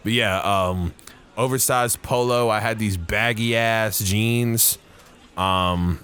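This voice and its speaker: A young man with a fairly low voice, swapping stories on a podcast. He talks loud and animated, laughing and cursing as he goes. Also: takes it slowly, smooth. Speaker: podcast co-host. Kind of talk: casual chat.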